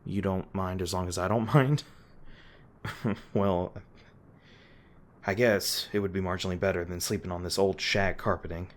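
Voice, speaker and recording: Man playing a shy, deep-voiced monster hunter. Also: fairly even intonation, moderate pace, long pauses, natural voice. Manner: hesitant, bashful, stumbling over words. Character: awkward protective young male monster hunter. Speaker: roleplay voice actor. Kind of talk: audio roleplay addressing the listener.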